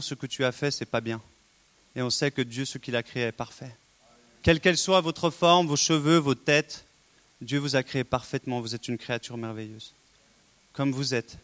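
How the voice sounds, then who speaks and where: A man's voice: mid-range voice, a bit bright. A man preaching a sermon, earnest and exhorting, with frequent rhetorical questions to the congregation.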